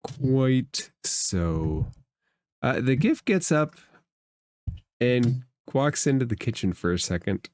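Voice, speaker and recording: Guy game mastering a fantasy game, takes his time, halting, dry close sound. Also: medium pitch, very animated, darkish tone, no room sound. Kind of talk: narrating a tabletop role-playing game.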